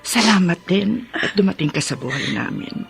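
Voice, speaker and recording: An actress playing a weary, ailing, loving middle-aged adoptive mother with a husky, creaky voice in a Filipino radio drama. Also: fairly low pitch, animated, moderate pace, heavily acted. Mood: emotional, tender. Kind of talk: Tagalog radio drama acting. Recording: music under the voice. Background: music.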